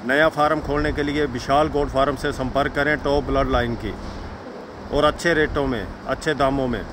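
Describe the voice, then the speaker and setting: Man pitching goats with a flat voice. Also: normal speed. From Himachal Pradesh. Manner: repetitive sales pitch, addresses viewers as friends. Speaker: goat farm seller. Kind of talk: walk-around sales video. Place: outdoors at a goat farm. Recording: outdoor noise in background.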